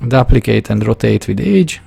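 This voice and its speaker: A man from Hungary with a Hungarian accent, narrating a drawing tutorial in a casual, halting way, searching for words and correcting himself. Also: medium pitch, expressive, vocal fry, mellow, slightly rough.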